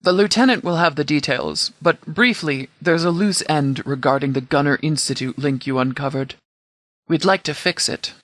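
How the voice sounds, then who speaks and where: A man's voice: a little high, normal speed, fairly bright tone, dry recording, lightly acted. Stern, formal sheriff in command , acted by a man. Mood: businesslike. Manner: formal, clipped, authoritative military phrasing. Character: stern, composed male sheriff commanding a militia department. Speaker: commanding officer. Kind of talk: scripted video game dialogue.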